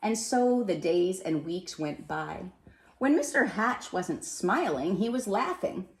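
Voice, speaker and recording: A woman reading a children's storybook aloud with expressive narration, recorded in an echoey room. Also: fairly low pitch, slow pace. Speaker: storybook reader.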